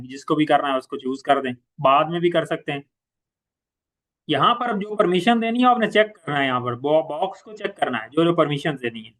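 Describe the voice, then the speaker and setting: Male software trainer, Pakistani accent, slightly high voice. Informal, instructional walkthrough delivery, repeats key points, drops in English terms. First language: Urdu. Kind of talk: informal step-by-step software tutorial.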